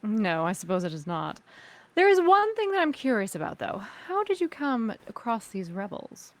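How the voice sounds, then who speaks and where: A woman playing a cool, suspicious crime syndicate boss, speaking formally and with measured skepticism in a sci-fi table read. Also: on the high side, big pitch swings. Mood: probing, skeptical.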